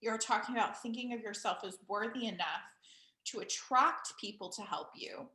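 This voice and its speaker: A woman hosting a casual interview, calmly building on her guest's points. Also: fairly high pitch. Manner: unhurried, thoughtful, expands on the guest's points. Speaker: host. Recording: roomy echo.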